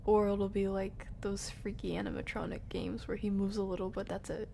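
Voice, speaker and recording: A woman's voice: medium pitch, little pitch movement, normal speed, vocal fry, dark tone, a little hiss or hum. A woman streamer giving casual live gameplay commentary, chatting to herself and her viewers in slangy asides. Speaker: video game streamer.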